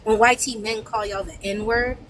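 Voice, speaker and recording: A woman panelist with a soft voice, speaking firmly and emphatically in a heated debate. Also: mid-range voice, fairly even intonation, moderate pace, background noise. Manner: firm, lecturing, emphatic.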